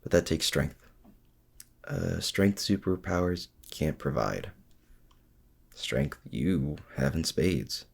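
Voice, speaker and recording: A man playing a feared but self-doubting supervillain, confiding casually and haltingly in a heroic rival in an intimate ASMR roleplay. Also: expressive, heavily acted. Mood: wry, confiding. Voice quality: very deep, gravelly. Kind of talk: intimate ASMR roleplay audio drama, addressing the listener.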